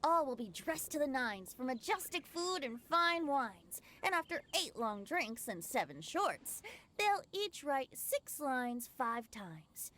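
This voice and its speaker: Carefree boy bard, high and lively, lilting, charming, anime game. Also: slow, full character voice. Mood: playful, lilting. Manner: lilting, poetic, playful. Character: cheerful, carefree boyish young male bard, playful and charming. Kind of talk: anime video game voice acting. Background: game music.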